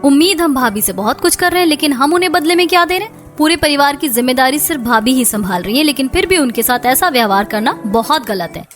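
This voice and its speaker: A woman's voice: high voice, lively intonation, quick pace, hardly any pauses. A woman voicing the women of a household in a Hindi moral-story cartoon, over music. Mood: reproachful. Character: women of a quarrelling household: daughter-in-law, mother-in-law, sister-in-law. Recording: music bed behind the voice.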